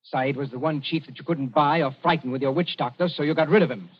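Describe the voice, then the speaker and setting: An actor playing a cool young colonial official, speaking in a dry, unhurried way in a 1940s radio drama. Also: male voice, fairly high pitch, steady tone, husky. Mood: accusing. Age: young adult. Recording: dull 1940s radio broadcast.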